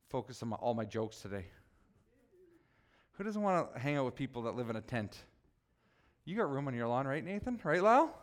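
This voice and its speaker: A man preaching a relaxed, conversational sermon, joking with his congregation and teasing people by name. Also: high voice, smooth. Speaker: pastor.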